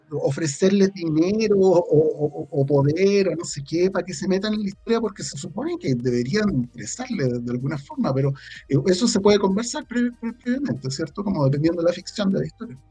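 A man with a Chilean accent in casual panel conversation, halting and repeating words as he forms his thoughts. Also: sits high, lively intonation, mellow, slightly rough, no room sound. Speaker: panelist on a tabletop role-playing talk show.

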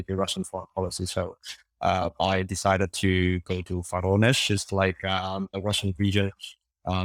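Young guy, cantonese first language, rambles with lots of uhs, flat low voice. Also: dry recording. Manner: rambling, many fillers and restarts. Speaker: interviewee. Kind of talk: casual interview conversation.

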